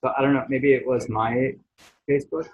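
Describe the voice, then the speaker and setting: A man hosting a podcast, giving soft, affirming responses to his guest. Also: medium pitch, steady tone, brisk, slightly rough, narrow, tinny audio. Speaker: podcast host. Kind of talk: casual podcast interview.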